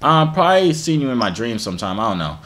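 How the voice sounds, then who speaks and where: Young guy on webcam, deadpan teasing, mid pitch, bit of hiss, small room. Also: quick pace. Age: young adult. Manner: deadpan, playful trash talk. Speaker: YouTuber on video chat app. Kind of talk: teasing prank chat.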